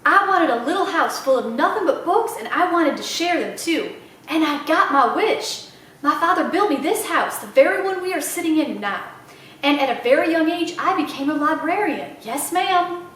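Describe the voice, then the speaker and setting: A woman's voice: high-pitched, expressive, normal speed, fairly bright tone, a little hiss or hum. A woman voicing a proud old small-town Southern lady librarian who tells tales with dramatic, repeated emphasis for a children's storybook. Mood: dramatic, relishing. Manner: emphatic, repeats phrases for effect. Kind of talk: children's audiobook character voice. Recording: very echoey room, slightly distant mic.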